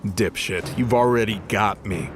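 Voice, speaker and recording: Man playing a low-voiced, cynical fallen angel fighter. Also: measured pace, noisy background, natural voice. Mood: sardonic. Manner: sarcastic, gruff, swearing. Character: cynical, sardonic disgraced male angel fighter. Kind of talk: audio drama acting. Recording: music under the voice.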